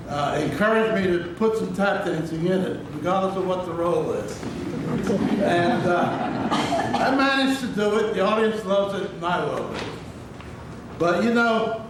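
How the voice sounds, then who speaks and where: A man telling joking anecdotes to a live audience in a chatty, casual way. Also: high voice. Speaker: stage performer telling anecdotes. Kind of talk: casual stage storytelling. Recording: echoey hall from far off, noisy.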